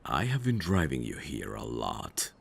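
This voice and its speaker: A man playing a suave, teasing mafia boss in an ASMR audio roleplay, flirtatious with a faint edge of menace and an unhurried manner. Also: very deep voice, huge pitch swings, creaky, breathy edge, full character voice. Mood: calm, fond.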